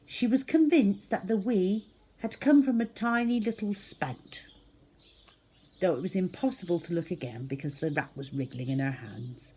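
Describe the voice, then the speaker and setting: A woman reading a children's book aloud as a storyteller, in an expressive, theatrical manner. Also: fairly low pitch, a little hiss or hum. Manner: animated, theatrical, with acted-out sound effects.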